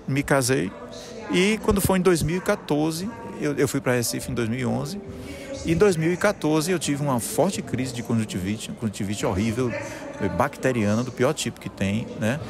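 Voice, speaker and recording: Earnest middle-aged man, gravelly. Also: mid-range voice. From Salvador, Brazil. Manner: earnest, restarts often, tags phrases with "né". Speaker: guest, musician and conductor. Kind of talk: personal testimony in an interview. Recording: music playing behind the voice.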